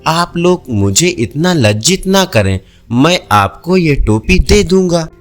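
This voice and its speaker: A man's voice: on the high side, big pitch swings, slow, faint music behind. A man voicing a clever, polite village boy in a story, speaking plainly and earnestly.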